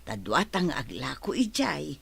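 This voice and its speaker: A woman's voice: deep voice, very animated, a little slow, creaky, breathy edge, heavily acted. A woman with an Ilocano Filipino accent playing a concerned, practical middle-aged village mother in a radio drama.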